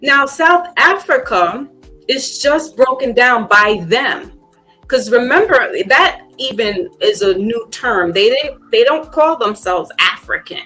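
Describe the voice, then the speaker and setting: A woman's voice: a little high, animated, slow, pushed and bright, faint music behind, low-quality recording. A woman hosting a podcast speaks in casual conversation, reflective and full of fillers, then grows emphatic. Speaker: podcast host.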